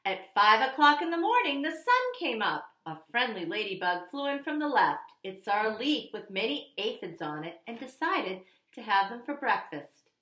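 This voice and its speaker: A woman putting on a cheerful, excited guest-reader voice for children, high and loud. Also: normal speed. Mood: storytelling. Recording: echoey room.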